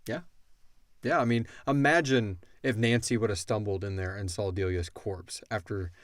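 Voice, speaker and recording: Male podcast co-host, lowish voice, very dry recording, chatting loosely and slangily about his fiction show. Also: fairly even intonation, normal speed, no echo. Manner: informal, slangy, thinks aloud. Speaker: podcast co-host and audio drama writer. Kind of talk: casual Q&A chat about an audio drama.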